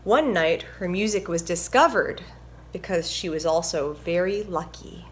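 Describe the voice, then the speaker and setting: A woman reading aloud and teaching music to young children in a gentle, encouraging, instructive manner. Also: lowish voice, unhurried, slight background noise. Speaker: violinist presenting a children's story time. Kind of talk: picture book read-aloud and music lesson for children.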